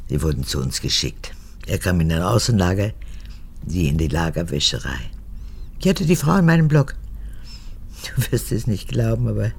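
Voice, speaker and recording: An actress in a radio drama playing an aged, needy and domineering mother, an unrepentant former guard, with a deep, gravelly voice that moves between pleading and hardness. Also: a little mellow, heavily acted. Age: older.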